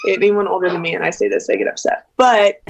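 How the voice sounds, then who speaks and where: A woman's voice: mid-range voice, fast talker, vocal fry, highs cut off, crowd noise. A woman in her twenties, a singer and interview guest, talking casually on a video call, hesitant and giggly with many fillers. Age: 20s.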